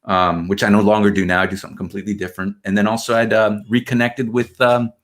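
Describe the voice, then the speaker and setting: Man, 30s, from Argentina. Podcast guest. Lowish voice, easygoing storyteller, casual fillers throughout. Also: quick pace. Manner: relaxed storytelling, many fillers like "man" and "you know". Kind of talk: casual conversational interview.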